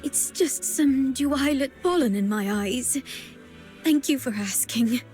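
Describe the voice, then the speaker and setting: A woman playing a gentle, wistful young florist in a video game, speaking politely and hesitantly. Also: high-pitched, slow, soft background music. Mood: tearful, polite. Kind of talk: soft dramatic video game voice acting.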